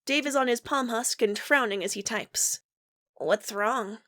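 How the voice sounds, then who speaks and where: A woman's voice: fairly high pitch, normal speed, fairly bright tone. A woman co-reading a story aloud, narrating with casual asides. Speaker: podfic co-reader.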